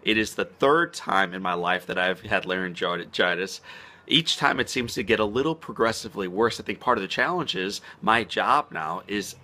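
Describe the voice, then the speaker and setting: A man speaking as a motivational speaker in an inspirational vlog. He talks earnestly and slows down to stress key words. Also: lowish voice, lively intonation, pushed and bright. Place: outdoors on a spring morning.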